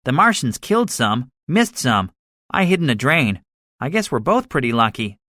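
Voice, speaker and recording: Man playing cynical soldier, boastful schemer, highly animated intonation, pitched up, dry studio sound, storybook drama. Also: moderate pace, no echo. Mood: urgent, wary. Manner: emphatic, swaggering, animated. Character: cynical, boastful male soldier, excitable survivor with grand schemes. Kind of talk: dramatized audiobook dialogue.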